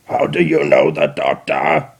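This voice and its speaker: A man voicing a cold alien war machine with a gravelly, mechanical voice. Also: a bass voice, very animated, measured pace, voice pushed down, heavily acted.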